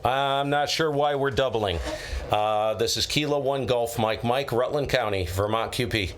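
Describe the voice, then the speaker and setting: A man's voice: medium pitch, a little slow. A man operating amateur radio during a contest, courteously giving call signs in phonetic letters and procedural phrases. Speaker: amateur radio operator, live stream host. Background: radio static.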